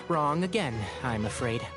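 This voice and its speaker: An actor playing a considerate, gently reassuring young character in a video game, speaking in an explanatory way. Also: medium pitch, very animated, slow pace. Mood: resigned. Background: music.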